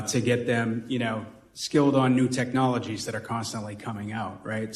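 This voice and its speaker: A man with a Boston accent answering as a panelist in a roundtable discussion, talking things through with frequent "right?" tags. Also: medium pitch. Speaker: panelist on workforce. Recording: roomy echo.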